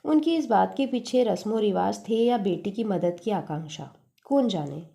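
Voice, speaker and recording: A woman narrating a literary story as a storyteller, reading expressively in an earnest, measured manner. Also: mid-range voice, expressive, gravelly, mellow.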